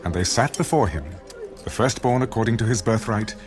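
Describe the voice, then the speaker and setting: A man narrating a dramatized audio Bible in a solemn, measured storytelling style. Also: lowish voice, music in the background.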